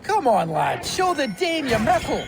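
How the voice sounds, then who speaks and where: An actor playing a rough, scarred, hard-drinking monk in a video game, gruffly jovial and goading. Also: male voice, very high-pitched, wildly swooping pitch, a little slow, a crowd in the background, full character voice. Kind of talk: video game drama acting. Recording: clear background ambient noise.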